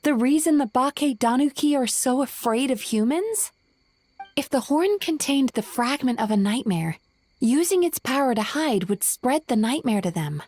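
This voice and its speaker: Game heroine, high voice, husky, dry close sound, thoughtful, caring. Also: female voice, expressive, moderate pace, darkish tone, no echo. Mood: pondering. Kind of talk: video game voice acting.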